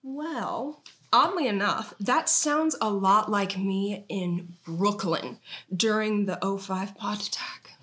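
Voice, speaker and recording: A woman's voice: medium pitch, takes it slowly, fairly bright tone. A woman playing an earnest, evasive storyteller who tells borrowed tragedies melodramatically in a comedy sketch read. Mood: grave, dramatic. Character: earnest evasive storyteller Ollie, passing off movie plots as trauma.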